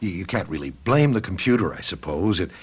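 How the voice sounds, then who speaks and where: An actor playing a timid, evasive official in a radio drama, hesitant, stammering and apologetic. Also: male voice, low voice, lively intonation, fairly quick, husky. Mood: flustered, apologetic.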